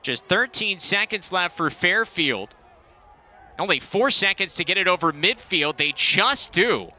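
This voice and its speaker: A man doing play-by-play commentary on a college lacrosse broadcast, with brisk calls of the action. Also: high-pitched, expressive, sharp tone. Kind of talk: sports commentary.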